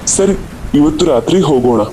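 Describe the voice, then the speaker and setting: A man's voice: fairly high pitch, expressive, normal speed, mellow, slight background noise, lightly acted, low-quality recording. A man playing an uneasy, cautious village man in a cartoon horror story, speaking warily. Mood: doubtful. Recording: faint rain and thunder behind voice.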